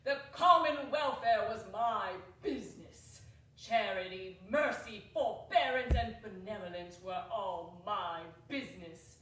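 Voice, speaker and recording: A woman playing the mournful chained ghost of a dead business partner in a dramatic stage reading, slow and lamenting. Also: on the low side, a bit bright, a little hiss or hum. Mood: impassioned. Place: small theatre stage. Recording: echoey hall from far off.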